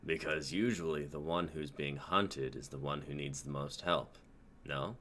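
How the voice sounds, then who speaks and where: A man's voice: a bass voice, a little slow, bright, forceful tone, slight background noise, exaggerated voice. A man playing a cryptic, wry reptilian-eyed doctor in an informal script reading.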